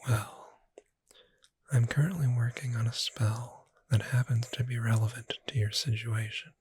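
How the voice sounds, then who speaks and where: A performer voicing a friendly witch bookseller in a soft-spoken ASMR roleplay, gentle, unhurried and lightly wry in a breathy near-whisper. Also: mid-range voice, steady tone, dry recording. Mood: gentle, persuasive.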